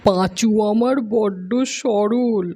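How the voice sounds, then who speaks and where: A woman's voice: mid-range voice, fairly even intonation, slow, dark tone, breathy edge. A woman voicing a worried older village mother-in-law who gives careful warnings. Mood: cautioning. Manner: caring, cautioning instructions.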